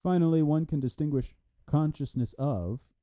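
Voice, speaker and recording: A man's voice: fairly high pitch, normal speed, dark tone. A man reading an academic philosophy review aloud in a formal, scripted style. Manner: reads punctuation and citations aloud. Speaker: reader of a translated philosophy article.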